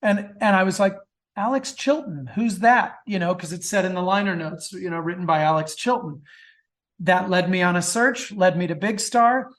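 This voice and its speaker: A man's voice: high voice, normal speed, a bit bright. A middle-aged man chatting casually as an interview guest, enthusiastic and prone to digressions. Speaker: guest author.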